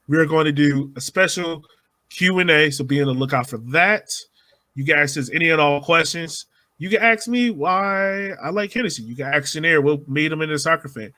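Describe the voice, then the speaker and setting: Male podcast host, informal and slangy, with a bright, fairly high voice for casual sports chat. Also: no room sound.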